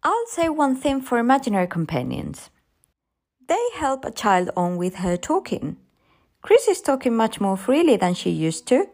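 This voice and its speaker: A sceptical husband read by a woman narrator in a storybook. He is breezy, rational and reassuring, with an offhand manner and lively intonation. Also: on the high side, moderate pace, voice pushed up, exaggerated voice. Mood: teasing.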